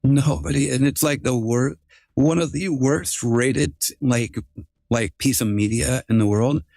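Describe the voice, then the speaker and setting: A man's voice: mid-range voice, unhurried, gravelly, darkish tone, no room sound. A man hosting a casual livestream, chatting informally with some swearing and often repeating his phrases. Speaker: livestream host.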